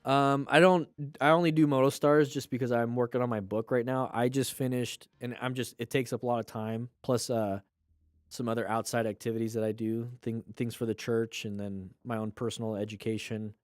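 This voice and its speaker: A man's voice: medium pitch, fairly even intonation, a little fast, no echo, clean studio sound. Congested man chatting on a livestream. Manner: chatty, loose asides, plugs a sale. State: congested, nasal. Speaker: livestream host reviewing motorcycle clips. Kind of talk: casual livestream commentary.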